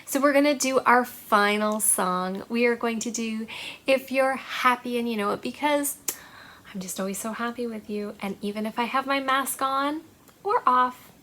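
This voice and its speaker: A woman hosting a storytime for young children, speaking in a deliberate, singsong way. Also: fairly high pitch, pushed and bright. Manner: singsong, deliberate, repetitive phrasing for small children. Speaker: children's library storytime host. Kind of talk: storytime read-aloud and simple activity for young children.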